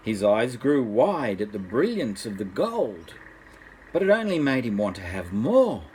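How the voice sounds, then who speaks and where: An older man reads a bedtime story as a grandfather would to children. His delivery is unhurried and expressive, with a mid-range voice that rises and falls widely. There is faint noise in the recording. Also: medium pitch, rough recording.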